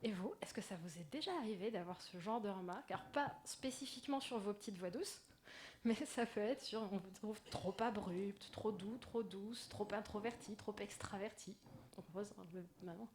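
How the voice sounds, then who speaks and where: A woman with a soft, gentle voice, speaking to an audience in a personal, lightly self-deprecating conference talk. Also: mid-range voice, normal speed. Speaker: conference speaker, agile coach. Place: indoor conference room. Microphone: stage microphone. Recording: noisy live microphone in a talk room.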